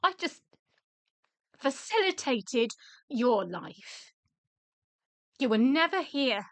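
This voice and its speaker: An actress plays a British widow in a radio play, weary and blunt, with a high, bright voice. She speaks at a measured pace, with sardonic resentment. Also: big pitch swings, no echo, full character voice. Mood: bitter, reproachful.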